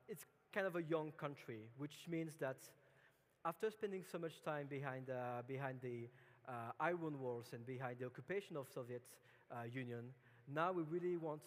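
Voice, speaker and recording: French-accented man speaking in a reverberant hall. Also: medium pitch. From France. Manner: relaxed, chatty, many fillers and restarts. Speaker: conference speaker. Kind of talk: conference talk.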